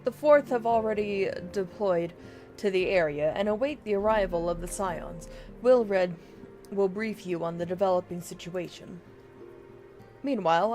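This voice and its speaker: Woman, mid-pitched voice, livestreamer, dramatic reading of game text, music in background. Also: darkish tone. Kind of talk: reading game dialogue aloud.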